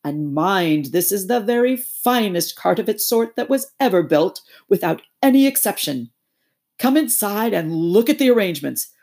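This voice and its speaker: A grand, pushy toad gentleman gushes in a raised, breathless rush, as a woman reading a storybook puts him on. He brags and pleads by turns, with a husky, gravelly edge under the lift. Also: mid-range voice, expressive, moderate pace, voice pushed up. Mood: rhapsodic. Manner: gushing, exclamatory, wheedling. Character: boastful excitable male toad, grand and pushy.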